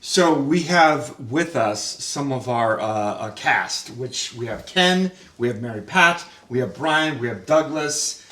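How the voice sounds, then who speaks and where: A man's voice: mid-range voice, expressive, slow, hardly any pauses, a bit bright, far from the mic. Male host, chatty questioner, roomy room, off mic. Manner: chatty, asks questions, many restarts. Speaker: writer-director and host. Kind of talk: casual roundtable chat.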